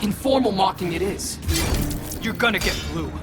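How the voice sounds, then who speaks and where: A man's voice: high voice, lively intonation, moderate pace. A man playing a wisecracking, cocky young superhero in a video game, flippant and slangy. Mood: cocky, joking. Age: young adult. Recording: loud music behind.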